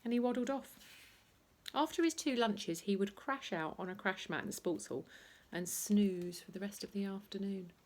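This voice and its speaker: A woman reads a children's story aloud with a slightly low-pitched voice. She is playful and expressive, and she acts out the noises and asides as part of the telling. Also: gravelly. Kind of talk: animated bedtime story reading with sound effects and asides.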